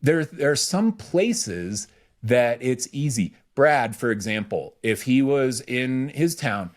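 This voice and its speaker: A man's voice: mid-range voice, lively intonation, takes it slowly. A man hosting a podcast as a business coach, talking in a casual, instructive way and repeating key phrases for emphasis.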